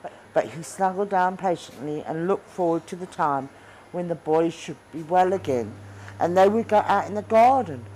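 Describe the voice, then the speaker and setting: A woman narrating a children's story from a script, in a measured, expressive read-aloud manner. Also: lowish voice, slight background noise, rough recording. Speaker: storybook reader.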